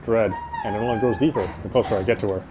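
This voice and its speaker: A man's voice: medium pitch, wildly swooping pitch, very fast, heavy background noise. A man streaming a game and reading its narration aloud, now and then stumbling over words.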